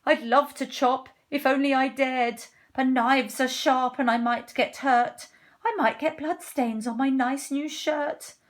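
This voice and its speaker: A woman voicing a timid, panicky male cook from a children's storybook, with drawn-out fearful wails. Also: high voice, steady tone, voice pushed up. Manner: wailing, drawn-out fearful cries. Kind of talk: children's storybook read-aloud.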